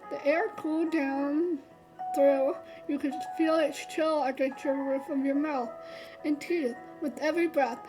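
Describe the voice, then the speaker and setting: A woman's voice: high voice, steady tone, measured pace, music in the background, low-quality recording. A woman streamer reading a visual novel aloud, stumbling and restarting often and adding chatty asides. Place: streaming setup.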